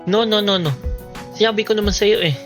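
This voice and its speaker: A man's voice: high-pitched, a little fast, natural voice. A shy, insecure, lovestruck Filipino student, acted by a man in an amateur radio play. He speaks earnestly, with prominent music running behind him.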